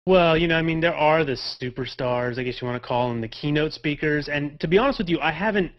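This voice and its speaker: A man's voice: fairly high pitch, fast. A man in his thirties answering interview questions casually as a podcaster guest on a lo-fi video call. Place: home office on a video call. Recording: dull lo-fi video call audio.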